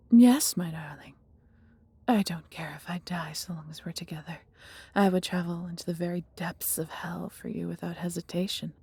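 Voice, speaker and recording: Woman acting a proud dragoness, very breathy and husky, lowish pitch, tender, protective, theatrical old-fashioned phrasing, fantasy audio roleplay. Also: warm tone. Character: devoted, protective, proud injured female dragon, huge.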